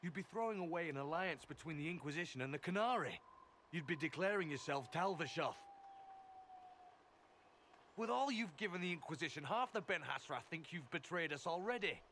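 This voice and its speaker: Stern, zealous agent, noisy backdrop. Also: male voice, on the high side, expressive, fairly quick, fairly bright tone. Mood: urgent, insistent. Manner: clipped, commanding, scornful. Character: stern, zealous male Qunari-aligned elf agent, disdainful and urgent. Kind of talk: dramatic video game acting.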